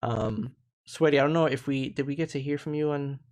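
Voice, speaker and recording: A man's voice: on the high side, fairly quick, darkish tone. A man in his thirties hosting a casual live panel discussion, earnest and reflective, often restarting his sentences. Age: 30s.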